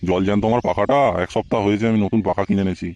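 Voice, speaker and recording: A man's voice: on the low side, fairly even intonation, very fast, warm tone, no echo, muffled recording, lightly acted. A man voicing an indignant, hot-tempered married man in a comic cartoon. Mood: aggrieved. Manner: indignant, cursing.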